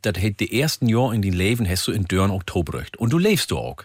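A man hosting a casual radio interview in a studio, chatty and teasing, firing off quick questions. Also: fairly low pitch, big pitch swings, breathy edge, dry recording. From northern Germany. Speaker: radio host. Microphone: studio microphone.

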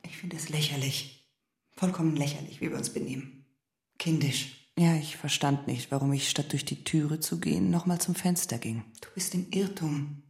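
Low-voiced wounded, proud wife. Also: female voice, moderate pace, a little mellow, reverberant. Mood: indignant, hurt. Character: wounded, proud wife confronting her husband and lover. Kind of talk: German radio drama dialogue.

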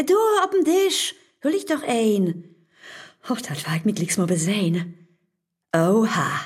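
A woman playing a brash, nosy, sharp-tongued girlfriend in a radio play, speaking rhymed northern Low German dialect. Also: slightly rough, exaggerated voice.

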